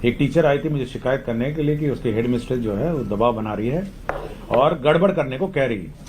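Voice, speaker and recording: A man speaking as a government official, explaining rules at a briefing in a halting way that often rephrases. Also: mid-range voice, lively intonation, a little mellow. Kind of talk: explaining policy at a press briefing. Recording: faint background ambient noise.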